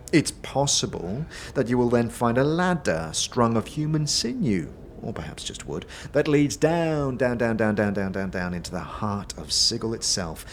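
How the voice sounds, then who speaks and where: An actor playing a chatty, secretive innkeeper in a fantasy audio drama, florid and dramatic, with hushed confiding asides. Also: male voice, mid-range voice, a little hiss or hum. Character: theatrical, secretive innkeeper, chatty and florid, spinning ominous tales.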